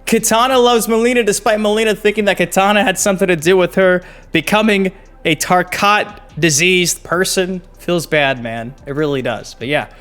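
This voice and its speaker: A man's voice: sits high, moderate pace, fairly bright tone, clean tone, faint music behind. A man hosting a gaming video, talking through game news in a casual, slangy, enthusiastic fan style.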